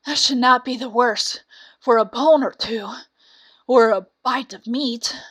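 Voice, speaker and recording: A woman voicing a weak, weary old hunting dog in a storybook, with a breathy delivery. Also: fairly high pitch, measured pace, fairly bright tone, no room sound. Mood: hopeful.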